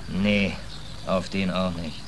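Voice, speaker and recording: An actor playing a weary, defiant stateless German sailor in a 1940s radio drama, blunt, colloquial and wry. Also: male voice, low-pitched, level tone, slow. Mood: resigned, stubborn. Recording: noisy old 1940s radio recording.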